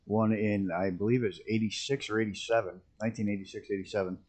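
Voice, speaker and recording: A man with a rough early-morning voice, chatting casually and rambling as he streams a flight simulator. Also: fairly low pitch, fairly even intonation, quick pace, darkish tone. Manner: rambling, self-interrupting, chuckling at mishaps. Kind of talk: casual live-stream commentary.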